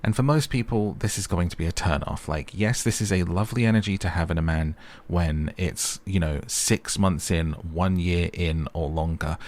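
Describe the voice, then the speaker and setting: A man's voice: deep voice, normal speed, a little mellow. A man giving a casual, chatty recap commentary of a reality show, with wry asides. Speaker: host of a recap channel.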